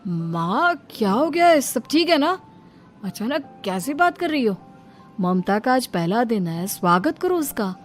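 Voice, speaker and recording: A woman's voice: a little high, big pitch swings, a little slow, soft background music. A woman voicing a practical thirty-year-old son in a cartoon family drama, explaining in a casual, mildly exasperated manner. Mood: concerned.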